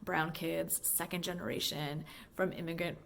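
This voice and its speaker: Woman, lowish voice with heavy vocal fry, chatty fan musing aloud in a casual voicemail style, some background noise. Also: steady tone, moderate pace. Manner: musing, conversational, heavy use of "like" and "you know". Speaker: high school English teacher and book fan.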